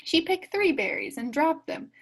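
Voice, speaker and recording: A woman reading a children's story aloud expressively, enunciating deliberately and acting out the sound words and dialogue. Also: very high voice, sing-song, rough recording. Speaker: storybook reader.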